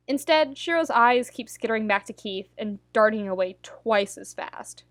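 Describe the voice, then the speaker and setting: A woman reading a scripted story aloud, with wry, dramatic timing and deliberate pauses. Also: mid-range voice, dry recording. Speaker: podfic narrator reading fan fiction.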